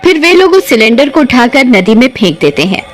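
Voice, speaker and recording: A woman's voice: on the high side, sing-song, brisk, heavy background noise. A woman narrating a scripted cartoon ghost story in a dramatic storytelling style.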